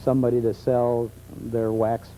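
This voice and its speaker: A man's voice: medium pitch, little pitch movement, normal speed, warm tone, a little hiss or hum, poor audio. An American man speaking as a guest expert on a talk show, telling anecdotes with enthusiasm. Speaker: guest expert on old-time radio. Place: television studio.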